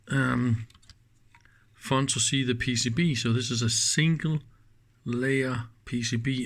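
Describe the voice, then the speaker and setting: A man with a mid-pitched voice talks slowly through a hands-on task. His commentary is casual and unscripted. He muses as he goes, halting and leaving thoughts unfinished. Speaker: electronics teardown host. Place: indoors at a workbench.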